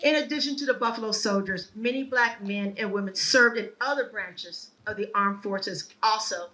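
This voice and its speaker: A woman giving a prepared speech at a ceremony, telling her family's history in a measured, earnest way. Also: medium pitch, pushed and bright, far from the mic, a little hiss or hum, low-quality recording. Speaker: ceremony speaker. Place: outdoor ceremony.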